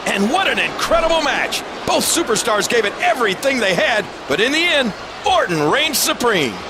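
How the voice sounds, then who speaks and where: A man's voice: extremely high pitch, big pitch swings, moderate pace, background noise. A man playing a brash, hyped-up color commentator for a wrestling video game, talking emphatically. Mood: excited. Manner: emphatic, hyping. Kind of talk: televised sports color commentary. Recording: loud music under the voice.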